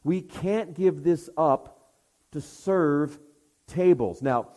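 A man preaching an expository sermon in an emphatic manner, with rhetorical questions and deliberate pauses for weight. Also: on the high side, dark tone.

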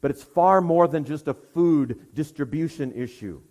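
A man preaching an expository sermon in an emphatic manner, with rhetorical questions and deliberate pauses for weight. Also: on the high side, a little mellow.

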